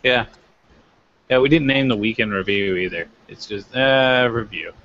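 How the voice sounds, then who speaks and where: A man's voice: on the low side, moderate pace, a bit bright, lo-fi sound. A man co-hosting a wrestling review show, giving his commentary in loose, casual chat.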